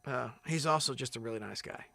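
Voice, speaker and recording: A man who sings as a contestant, answering interview questions in a relaxed, rambling way with many fillers and restarts. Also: medium pitch, quick pace, vocal fry, no echo. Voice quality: big loud singing voice. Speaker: singing contestant.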